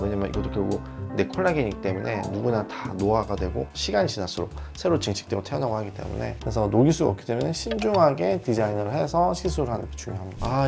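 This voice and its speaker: A man's voice: fairly low pitch, creaky. A man who is a skin doctor, explaining a cosmetic procedure and giving advice to viewers. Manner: explanatory, advising with examples. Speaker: dermatologist.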